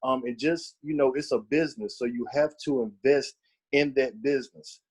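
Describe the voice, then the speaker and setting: A man's voice: mid-range voice, moderate pace, slightly rough. A man leading a casual training session, talking conversationally with lots of fillers. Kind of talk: casual group training talk.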